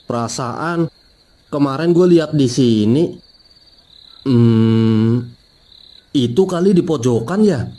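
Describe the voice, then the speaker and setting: A man's voice: medium pitch, mellow. A man voicing a brash young male college student in a cartoon, talking in casual slangy banter. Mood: searching. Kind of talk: cartoon voice acting.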